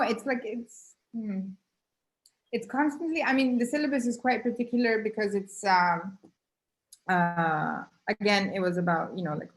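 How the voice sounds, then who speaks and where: Guest artist, woman, slightly low voice; halting, filler-heavy casual storytelling. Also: rough recording. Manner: halting, many "you know, like" fillers.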